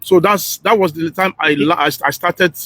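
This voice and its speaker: Casting: Nigerian man, high rough-edged voice, insistent and repetitive in informal, argumentative banter. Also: normal speed, pushed and bright, dry recording. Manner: insistent, repeats himself. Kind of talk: casual, argumentative chat in Nigerian Pidgin and English.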